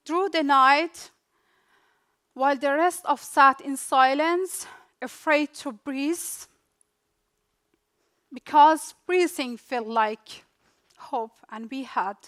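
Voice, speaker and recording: Slow, high-voiced Afghan woman telling her story. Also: fairly bright tone. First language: Dari. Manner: slow, deliberate delivery in short dramatic phrases with pauses. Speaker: refugee speaker. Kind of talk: rehearsed personal storytelling speech.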